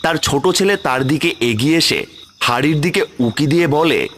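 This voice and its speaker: A man's voice: fairly high pitch, expressive, normal speed, a bit bright, a little hiss or hum. A man narrating a scripted horror story as its storyteller. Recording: music bed under the voice. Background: music.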